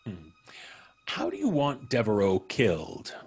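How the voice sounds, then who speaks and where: An actor playing a polite, probing, quietly menacing visitor in an old-time radio mystery, musing deliberately with frequent hums. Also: male voice, fairly low pitch, sing-song, vocal fry, slightly rough, full character voice. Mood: probing, courteous.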